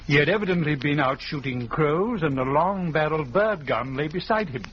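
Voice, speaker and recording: Man playing a pompous, highish-voiced country doctor. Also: animated, moderate pace, natural voice. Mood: assured. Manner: formal, pompous. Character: self-assured, pompous male country doctor, faintly impatient. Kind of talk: 1940s radio mystery acting. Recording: dull, noisy old radio recording.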